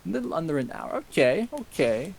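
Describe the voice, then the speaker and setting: Jokey young-sounding man, slightly high voice. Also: sing-song, a little fast, vocal fry, a crowd in the background. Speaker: co-host and story reader. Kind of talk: casual group chat on a livestream.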